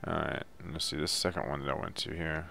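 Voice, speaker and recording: A man's voice: extremely low pitch, fairly even intonation, quick pace, vocal fry, sharp tone, slight background noise. A man giving a casual, unscripted video game walkthrough in a loose, hesitant, laid-back way. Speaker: video game guide narrator.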